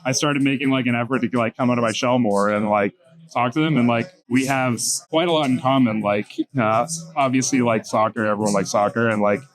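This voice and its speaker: A man's voice: mid-range voice, fairly quick. A man in a documentary interview, talking casually about being a season ticket holder, with "like" peppered through his sentences.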